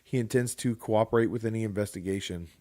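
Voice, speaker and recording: A man's voice: fairly low pitch, fast, dark tone, dry recording. A man co-hosting a casual podcast, reading a news article aloud with joking, sarcastic asides. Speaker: podcast co-host.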